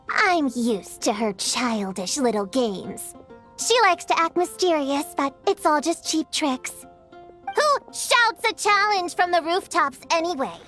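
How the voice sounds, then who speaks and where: A woman's voice: sits high, sing-song, takes it slowly, fairly bright tone, soft background music, exaggerated voice. A woman voicing a proud, hot-tempered young witch-hunter girl in a video game, scornful and combative. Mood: scornful, dismissive. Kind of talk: video game cutscene voice acting.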